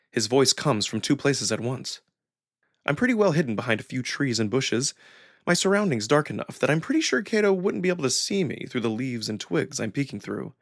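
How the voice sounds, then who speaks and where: A man's voice: mid-range voice, creaky, no echo, studio quality. A man reading first-person narration from a visual novel in a dramatic storytelling style. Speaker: narrator and voice performer.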